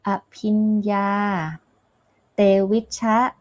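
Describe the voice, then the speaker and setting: A Buddhist nun from Thailand with a Thai accent, giving a teaching with live questions and answers. She pauses often and searches for her words. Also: mid-range voice, flat delivery, no echo, dull audio. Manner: hesitant, searches for words with many fillers.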